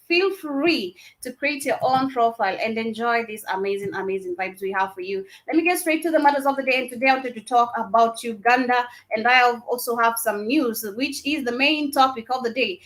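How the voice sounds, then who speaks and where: A woman's voice: fairly high pitch, fairly bright tone. A young Kenyan woman with a Luo accent, hosting a casual live radio talk show and reading out listener comments. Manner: chatty, reads listener comments aloud, laughs easily.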